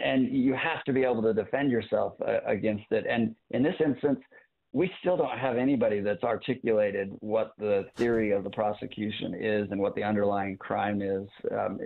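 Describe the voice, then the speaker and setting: A man giving unscripted legal analysis as an interview guest over a thin phone-like line, in a halting, deliberate way. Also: on the low side, vocal fry. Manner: halting, deliberate, repeats words as he goes. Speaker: guest legal commentator, former prosecutor.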